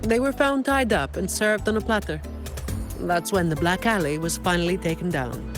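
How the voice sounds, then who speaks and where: A woman playing a composed, helpful informant in a video game, briefing an ally in a measured, explanatory way. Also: on the low side, close to an everyday voice. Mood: measured, storytelling. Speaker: game character giving briefing and lore. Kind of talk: scripted video game dialogue. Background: instrumental music.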